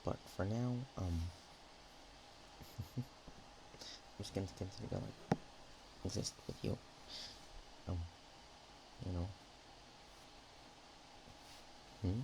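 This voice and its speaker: A man playing a naive, nervous, affectionate dragon in a roleplay ASMR, talking in a hesitant, rambling, halting way. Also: low-pitched, vocal fry, noisy background, full character voice. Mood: shy, nervous.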